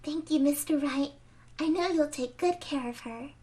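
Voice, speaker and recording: A young woman playing a gentle, sweet, polite young woman in a video game fandub. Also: high-pitched, normal speed, close to an everyday voice. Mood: grateful.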